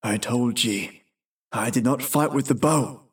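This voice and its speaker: A man's voice: medium pitch, unhurried, distant mic, voice pushed down, lightly acted. A man voicing a proud, stern medieval Scottish knight with solemn, declamatory phrasing in a storybook tale.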